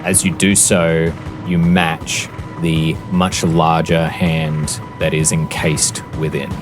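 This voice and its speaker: A man working as a game master, narrating a tabletop fantasy game in a measured, deliberate, descriptive storytelling manner. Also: very deep voice, little pitch movement. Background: background music.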